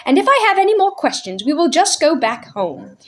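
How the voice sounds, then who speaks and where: A woman's voice: high voice, big pitch swings, brisk, voice pushed up. A woman voicing a prim, bossy nanny who speaks sharply and crossly. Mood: stern. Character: prim, stern young nanny.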